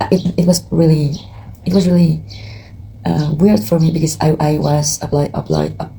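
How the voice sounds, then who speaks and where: A woman's voice: sits low, creaky, mellow, a little hiss or hum, rough recording. A woman with a Mexican Spanish accent, a tarot reader talking casually to her viewers, hesitant and tired, with many fillers and restarts. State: tired, has not slept. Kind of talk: casual chat to camera.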